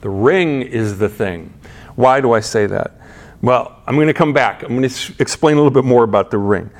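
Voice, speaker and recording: A middle-aged man preaching in a relaxed, conversational manner. He poses rhetorical questions to his listeners and often restarts his sentences, moving between soft, low asides and brighter, raised points. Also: normal speed. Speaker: pastor. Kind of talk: sermon.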